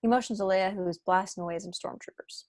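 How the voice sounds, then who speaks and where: A woman's voice: medium pitch, fast talker. A young adult woman reading scripted stage directions as narrator at an online table read. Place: room at home, video call.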